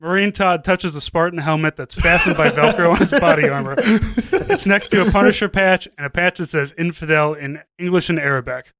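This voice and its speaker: A man's voice: on the high side, fairly quick, fairly bright tone, dry recording. A man narrating a scripted comedy story as a podcast host, now and then stumbling as he reads.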